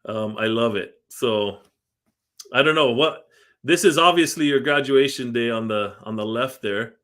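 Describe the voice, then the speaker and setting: A man's voice: mid-range voice, measured pace, a bit bright. A man hosting a podcast, chatting casually with a guest and laughing along as he reminisces. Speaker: podcast host. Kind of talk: casual interview chat.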